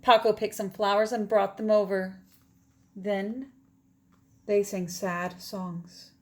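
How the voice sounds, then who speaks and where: A woman's voice: medium pitch, level tone, slow pace, darkish tone. A woman teacher reading a children's storybook aloud in a dramatic manner, often dropping toward a whisper.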